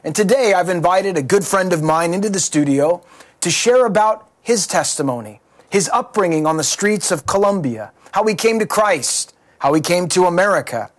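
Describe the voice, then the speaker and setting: A man's voice: high voice, lively intonation, moderate pace. Earnest preacherly male host. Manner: earnest, preacherly, leading questions. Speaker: pastor, show host. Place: studio.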